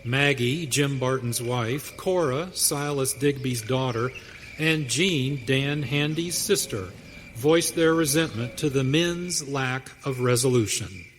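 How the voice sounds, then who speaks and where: A man's voice: medium pitch, slow. A man narrating a scripted western radio drama. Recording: hall reverb, faint noise.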